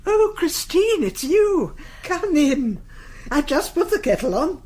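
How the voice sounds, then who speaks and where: High-voiced, lilting genteel elderly widow. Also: female voice, sing-song, takes it slowly, heavily acted. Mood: chatty. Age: older. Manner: sociable, gently probing, fussing politely. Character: genteel, chatty, curious elderly widow with grandchildren. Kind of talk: radio drama acting.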